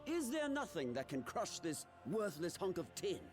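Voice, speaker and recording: An actor playing a sly, impatient trickster god in a video game, with a smarmy manner. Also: male voice, high-pitched, sing-song, moderate pace, soft background music. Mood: exasperated. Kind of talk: video game cutscene acting.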